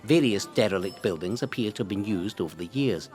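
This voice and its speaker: A Scottish man reading a scripted history narration in a dramatic, deliberate storytelling way. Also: fairly low pitch, lively intonation, darkish tone, soft background music. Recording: long hall-like reverb.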